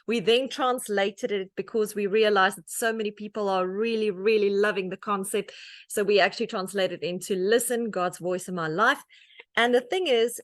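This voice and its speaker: A middle-aged woman from South Africa speaks with an Afrikaans accent, giving a heartfelt testimony talk. Her voice sits at an ordinary pitch and sounds close and dry, with no room echo. She talks earnestly, stumbling into small restarts and livening up when she tells a story. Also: normal speed. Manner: earnest and conversational, many restarts and "um"s, animated when telling stories. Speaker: guest speaker, author. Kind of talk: personal testimony talk to an audience.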